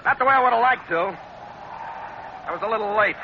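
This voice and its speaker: An American actor playing a tough sea captain in a 1940s radio adventure, speaking in a clipped, dramatic, hard-boiled way. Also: male voice, sits high, brisk. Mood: subdued. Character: tough male sea captain of a sailing ketch. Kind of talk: 1940s radio adventure acting, first-person narration. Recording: muffled, very noisy old radio recording.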